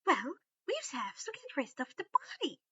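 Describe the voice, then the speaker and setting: A woman's voice: high-pitched, huge pitch swings, moderate pace, bright, forceful tone, no room sound, lo-fi sound, voice pushed up, heavily acted. A woman voicing a bossy, sarcastic female house elf servant who speaks in broken third-person grammar for a story. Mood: scolding.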